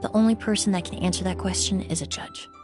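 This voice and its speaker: A woman's voice: on the low side, fairly even intonation, quick pace, natural voice. An actress playing a composed, protective, cautious young woman in a video game, earnest and poised. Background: faint music.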